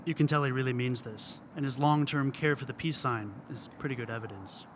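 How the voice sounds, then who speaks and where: A man's voice: mid-range voice, brisk, a little hiss or hum. A man reading scripted narration as a radio reporter.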